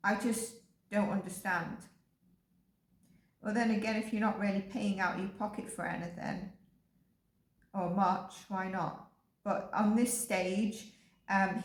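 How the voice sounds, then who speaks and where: A woman giving a casual psychic reading, rambling and filling her pauses with "um" and "like". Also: medium pitch, a little mellow. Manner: rambling, with frequent ums and likes. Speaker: psychic energy reader. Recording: echoey room.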